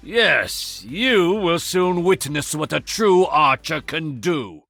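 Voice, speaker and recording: An actor playing a proud, stern master archer in a video game, with a Japanese accent and a formal, haughty delivery. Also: male voice, a little high, expressive, slow, vocal fry, a bit bright, no echo, faint music behind, full character voice. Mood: haughty, resolute. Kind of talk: video game character voice lines.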